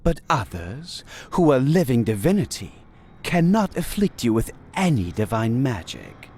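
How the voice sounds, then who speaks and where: An actor playing an enigmatic, knowing god of deception in a fantasy audio drama, speaking in a measured, formal, cryptic way. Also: male voice, medium pitch, sing-song, darkish tone, a little hiss or hum. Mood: thoughtful. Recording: music bed under the voice.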